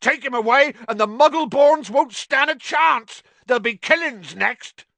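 Storybook giant of a man, gruff, hot-tempered, colloquial, forceful and strained upward in pitch. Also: very animated, slow, no room sound, heavily acted. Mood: furious. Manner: roaring, growling, rough colloquial speech. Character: huge, shaggy, hot-tempered, loyal rough-spoken man. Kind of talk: audiobook character acting.

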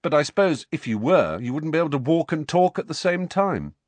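Sullen, sarcastic teen boy, played by a male narrator, taunting, very animated pitch, storybook read, close dry sound. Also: unhurried, no echo, full character voice. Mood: mocking. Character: sullen, mocking teenage boy goading his cousin.

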